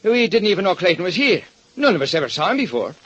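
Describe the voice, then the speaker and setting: Polite old-hand jail guard, plain and respectful, muffled radio sound. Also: male voice, high voice, sing-song, fast, fairly bright tone, slightly rough, dry recording, full character voice. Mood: earnest. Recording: dull lo-fi old radio broadcast.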